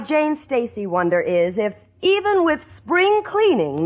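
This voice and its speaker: A woman's voice: high-pitched, bright, forceful tone, slight background noise, full character voice. An actress with an American accent playing a wry, exasperated young woman narrating a 1940s radio sitcom. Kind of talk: 1940s radio comedy acting. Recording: tinny narrowband 1940s radio recording.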